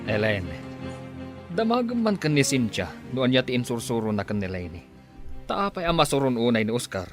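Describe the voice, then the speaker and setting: A man's voice: medium pitch, big pitch swings, brisk, over music, lightly acted. A man acting in a radio drama as a sensible, questioning boss and loyal friend, speaking expressively. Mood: concerned.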